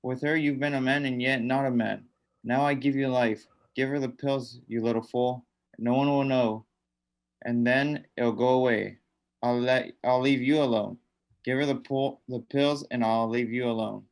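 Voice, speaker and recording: A menacing old dead man who has come back to tempt the living, acted by a man in a radio play. He speaks in a mid-range pitch, coaxing and commanding, pressing each demand again and again. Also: fairly even intonation, normal speed, close to an everyday voice. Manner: insistent, commanding, repetitive. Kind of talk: radio drama acting.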